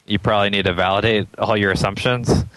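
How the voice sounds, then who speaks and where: Very deep-voiced man, relaxed guest. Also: fast talker, dry recording, poor audio. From Atlanta, US. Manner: relaxed, thinks aloud with many ums, laughs often. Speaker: interview guest, startup founder. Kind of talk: casual interview chat.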